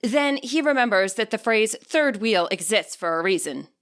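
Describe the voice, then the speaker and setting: A woman reading scripted fiction aloud as an expressive audiobook narrator. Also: mid-range voice, normal speed, clear, resonant tone.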